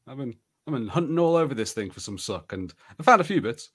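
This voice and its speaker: A male podcast co-host in a casual review chat. He works out his opinions as he speaks, hedging and circling back. The phrasing is halting and conversational. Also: mid-range voice, sing-song, a bit bright, slightly rough. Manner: halting, hedging, thinking aloud.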